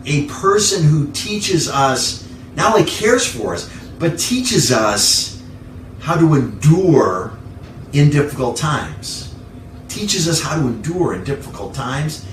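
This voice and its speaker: A man's voice: on the high side, fairly bright tone. Man preaching informally in an echoey room. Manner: conversational, repeats key phrases for emphasis. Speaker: pastor. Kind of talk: informal sermon. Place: living room at home. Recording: echoey room, distant mic, faint noise.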